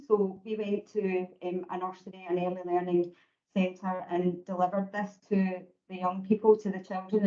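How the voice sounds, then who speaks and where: A Scottish woman with a booming voice walks colleagues through teaching resources, speaking in a steady, even-pitched way with little melody. The sound is dull and lo-fi, the way a video call comes through. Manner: steady, explanatory, calls her own voice booming. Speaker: education presenter. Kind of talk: online meeting presentation.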